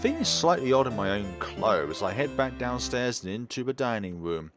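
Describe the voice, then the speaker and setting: A man's voice: medium pitch, very animated, moderate pace. A man reading a visual novel aloud as a let's player, mixing narration with casual asides and sometimes tripping over words and correcting himself. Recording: music playing behind the voice. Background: game music.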